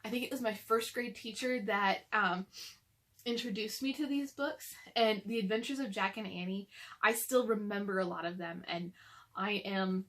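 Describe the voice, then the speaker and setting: A woman's voice: mid-range voice, normal speed, fairly bright tone. A young adult woman chatting casually to children and reading a storybook aloud to them.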